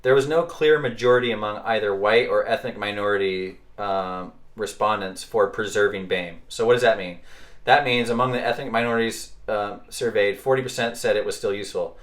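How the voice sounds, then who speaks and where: A man's voice: fairly low pitch, brisk. Podcast host, male, casual talker with rhetorical questions and fillers. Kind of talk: casual discussion.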